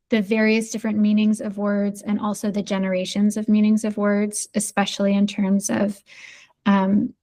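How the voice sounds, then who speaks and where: A woman talks through her thoughts in an unhurried podcast chat. Her pitch sits in the middle and barely moves, which gives her a flat, even delivery. She pauses often, with many "um"s and restarts as she works out what she means. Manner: thoughtful, hesitant, many fillers. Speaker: podcast guest. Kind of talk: casual conversation.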